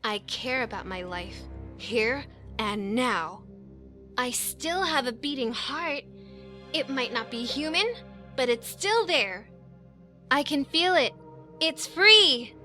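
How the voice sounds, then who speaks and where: A woman's voice: high-pitched, animated, slow pace, long pauses, pushed and bright, exaggerated voice. A woman playing a defiant, desperate young angel in an anime visual novel, with dramatic delivery. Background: background music.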